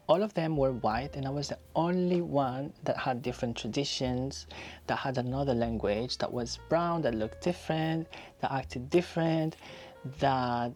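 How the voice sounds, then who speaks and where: Man in his thirties, vlogger, reflective and encouraging, mid-pitched voice, faint music underneath. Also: a little mellow. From Spain. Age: 30s. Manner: chatty, reflective, encouraging, repeats phrases for emphasis. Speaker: YouTuber. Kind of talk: casual vlog talking to camera.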